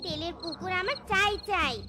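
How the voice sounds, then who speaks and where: A woman's voice: extremely high pitch, moderate pace, heavy background noise. A woman voicing a greedy, bossy cartoon wife who scolds and insists. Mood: stern. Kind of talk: cartoon character acting.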